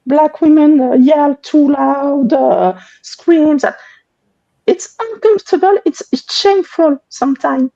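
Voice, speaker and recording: A woman with a high yet mellow voice speaks slowly and hesitantly on an online panel, repeating words as she gropes for them. Her audio is dull, lo-fi and dry. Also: lively intonation, gravelly, no room sound. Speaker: panel guest.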